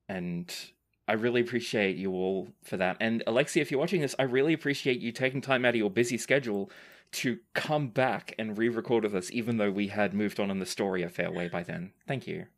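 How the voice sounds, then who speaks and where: Man, fairly low voice, podcast game master fielding listener questions, sincere and conversational. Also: brisk. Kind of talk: casual chat, reading listener questions.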